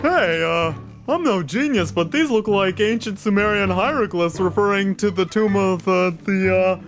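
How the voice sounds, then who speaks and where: A man's voice: high-pitched, moderate pace, faint music behind. A man playing an eager, bumbling, dim but surprisingly learned big cleaner in a comic audio adventure. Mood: curious. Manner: bumbling, excitable, folksy.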